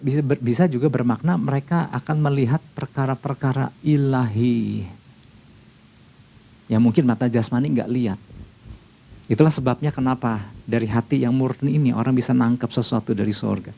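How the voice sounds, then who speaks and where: A man's voice: medium pitch, vocal fry, mellow. A man preaching a conversational sermon as a pastor, explaining step by step and repeating key phrases. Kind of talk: sermon, conversational teaching with scripture reading.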